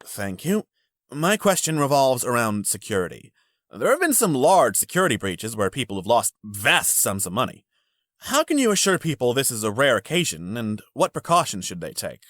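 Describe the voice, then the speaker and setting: A man's voice: medium pitch, sing-song, moderate pace, fairly bright tone, no echo, clean studio sound. A man voicing an earnest audience questioner in a visual novel, formal and inquiring.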